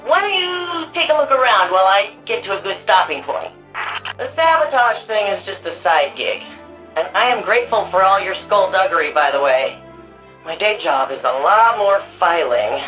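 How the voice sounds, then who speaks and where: An actress plays an eager, nerdy young woman who runs an archive in a video game. She talks informally with a wry streak, in a medium-pitched voice that swings freely. Light music sits behind her. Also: animated, moderate pace, fairly bright tone, close to an everyday voice, poor audio. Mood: wry, chatty. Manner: chatty, informal, wry, geeky. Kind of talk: video game character dialogue.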